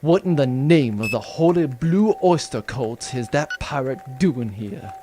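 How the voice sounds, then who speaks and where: A man's voice: mid-range voice, animated, unhurried, mellow, faint music behind, pitched below the performer's own voice, lightly acted. A man playing a pompous, exasperated villain baron in a comic audio drama, with an imperious, grandiose manner.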